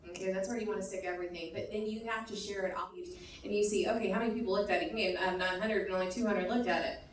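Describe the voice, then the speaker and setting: A woman's voice: medium pitch, steady tone, very fast, slight background noise. A woman on a business panel giving advice in an emphatic, chatty way, checking in with the audience often. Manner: emphatic, chatty, keeps saying okay. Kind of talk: panel discussion answer. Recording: echoey hall, mic far off.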